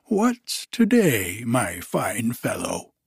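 A man voicing an elderly, formerly miserly man who is now giddy with delight, exclaiming in a high, breathless voice. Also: huge pitch swings, extremely slow, creaky, breathy edge, no room sound, clean studio sound, full character voice. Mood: overjoyed.

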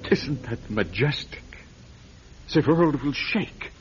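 An actor playing a scheming German intelligence major, smooth and coaxing, in a 1940s radio drama. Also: male voice, mid-range voice, animated, slow pace, over music. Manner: smooth, coaxing, quietly fanatical. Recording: dull lo-fi old radio recording.

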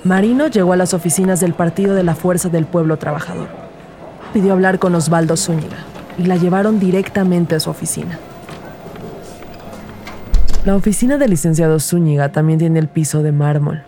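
A woman's voice: fairly low pitch, brisk, warm tone, a little hiss or hum, natural voice. A woman playing an earnest rookie detective who narrates her case in a scripted audio drama. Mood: measured, narrating. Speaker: narrator and lead character.

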